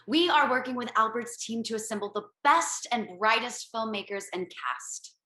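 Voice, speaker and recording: A woman's voice: fairly high pitch, normal speed, pushed and bright. A woman playing a polished, self-assured media executive with a formal, announcing manner, on a roomy video call. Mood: proud, formal. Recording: echoey room on video call.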